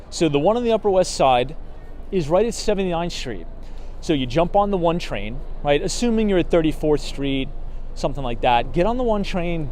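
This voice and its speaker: A hoarse man with a slightly higher voice talks casually while walking city streets, recorded outdoors on a handheld phone. Traffic hums behind him, and his delivery is loose and chatty, full of fillers. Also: a little mellow. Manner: chatty, filler-heavy, frequent "right?". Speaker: vlogger talking markets on a city walk. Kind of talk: casual livestream chat, reading viewer comments. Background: traffic sounds.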